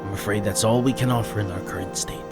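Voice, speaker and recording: A resolute ninja master from a fantasy tale, performed by a man. The voice sits a little low, earnest and measured, with clear music underneath. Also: steady tone, natural voice. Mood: earnest, grave. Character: resolute male ninja master leading weary townsfolk. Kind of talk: dramatised fantasy audiobook character.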